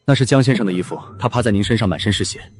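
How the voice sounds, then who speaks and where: An actor playing an efficient, deferential assistant to a powerful boss, giving factual reports in a husky voice in an animated drama. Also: male voice, fairly low pitch, very fast, soft background music. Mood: matter-of-fact. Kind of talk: dramatic character dialogue.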